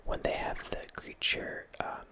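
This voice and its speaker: A man in his thirties whispering a rambling ASMR talk as the host of his channel, often restarting with soft laughs, close to a studio mic in a small room at home. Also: unhurried. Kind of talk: whispered ASMR ramble about a horror movie. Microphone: large studio mic close in front, with headphones.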